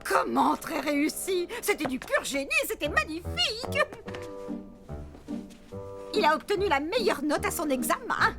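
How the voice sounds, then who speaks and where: A woman's voice: high-pitched, big pitch swings, measured pace, pauses often, over music, full character voice. A woman playing a snobbish, fussy cartoon mother who is pompous and theatrical, gushing and bossy by turns. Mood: gushing, proud. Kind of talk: animated cartoon voice acting.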